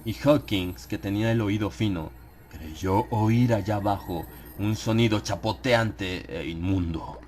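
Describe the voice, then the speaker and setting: A man narrating a dramatized horror audiobook in a solemn, measured manner with suspenseful pauses. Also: on the low side, noisy background. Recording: clear ambient sound effects behind the voice. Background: atmospheric horror sound effects.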